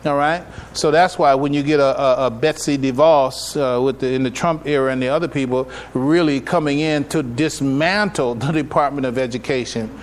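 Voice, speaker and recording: A man's voice: medium pitch, steady tone, moderate pace, few pauses. An American man giving an informal religious lecture to a congregation as an imam, conversational and folksy, often checking in with rhetorical questions, recorded live in a noisy room. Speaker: imam giving a public address and taking questions. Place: live gathering at a mosque, speaking to attendees.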